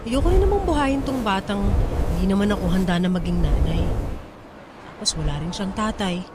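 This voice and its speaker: A woman's voice: mid-range voice, animated, fairly quick, heavy background noise, lightly acted. An actress playing a distressed, stubborn young pregnant woman in a radio drama. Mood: despairing, stubborn. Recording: loud music bed under the voice.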